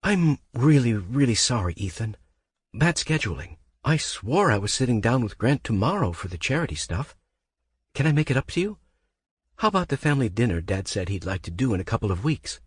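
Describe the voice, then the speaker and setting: A man's voice: mid-range voice, sing-song, fairly quick, breathy edge, no room sound, voice pushed up. A man voicing a nervous, apologetic young woman intern. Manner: apologetic, pleading.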